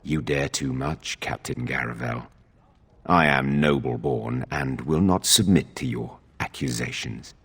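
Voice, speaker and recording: Haughty, deep-voiced sneering nobleman. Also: measured pace, a bit bright, breathy edge, big-room sound, full character voice. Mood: smug, mocking. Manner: arrogant, sly, drawling. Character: haughty, sneering male noble accused of murder. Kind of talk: video game voice acting.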